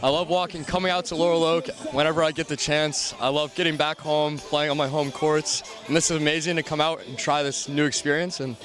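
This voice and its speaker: A man's voice: on the high side, level tone, brisk, hardly any pauses, a bit bright, soft background music. Upbeat man playing on his home courts. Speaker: tennis player.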